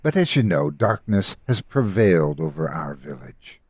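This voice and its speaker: An actor playing a jolly, friendly village man in an audio storybook, hearty and theatrical, with a husky voice. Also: extremely low pitch, sing-song, normal speed, darkish tone, dry recording, clean studio sound, full character voice. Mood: earnest, grave. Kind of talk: audio storybook character acting. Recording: music behind.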